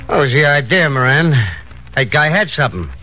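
Man playing animated streetwise gangster sidekick. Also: a little high, brisk, close to an everyday voice, rough recording. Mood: urging. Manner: slangy, reasoning, familiar. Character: gang boss's male right-hand man, coaxing, streetwise. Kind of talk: 1940s radio crime drama acting. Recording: 1940s radio broadcast with music.

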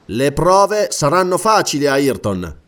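Guy reading as stern noble lord, formal n insistent, storybook, pitch moving up and down loads. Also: big pitch swings, takes it slowly, fairly bright tone, recorded from a distance, exaggerated voice. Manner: formal, insistent, pleading for answers. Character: stern, earnest gentleman lord questioning a prisoner. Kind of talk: dramatic audiobook character reading.

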